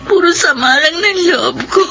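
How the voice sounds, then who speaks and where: An actress playing a grieving, remorseful daughter who cries and wails in a melodramatic radio drama. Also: female voice, high-pitched, lively intonation, moderate pace, pushed and bright, highs cut off, full character voice. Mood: anguished, remorseful. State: crying, wailing. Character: grieving, remorseful rebellious daughter pleading to her dead mother. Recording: music bed behind voice.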